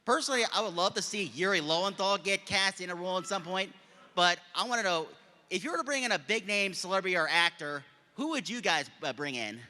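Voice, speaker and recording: A man's voice: high voice, expressive, quick pace, bright, forceful tone. A man from Iowa asking a fan question from the audience at a convention panel. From Iowa, United States. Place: large convention hall. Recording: echoing hall PA, long reverb.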